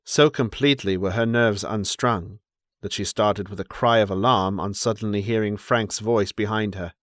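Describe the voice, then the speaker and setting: A man with a fairly low voice narrates period fiction. He is a measured, dramatic storyteller, and the recording is dry and close, as in a studio audiobook. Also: fairly even intonation, a bit bright, dry recording. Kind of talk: scripted literary narration.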